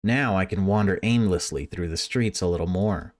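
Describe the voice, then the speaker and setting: A man's voice: deep voice, moderate pace. A man doing a let's play, reading a visual novel aloud and adding casual, sarcastic asides. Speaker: video game streamer.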